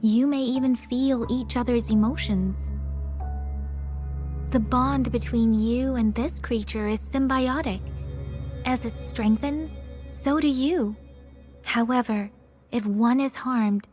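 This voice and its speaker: Helpful young game girl, high voice, patient explainer, bit of music behind. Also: slow, long pauses, a little mellow. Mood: patient, explaining. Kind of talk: scripted video game dialogue, explaining.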